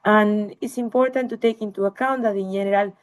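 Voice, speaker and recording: A woman's voice: medium pitch, steady tone, fairly quick. A woman researcher giving an academic webinar lecture, explaining slides to listeners.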